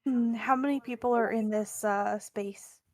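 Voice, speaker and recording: A woman playing a tabletop game, bantering casually in a deadpan manner. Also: on the high side, a little slow, no echo, studio quality.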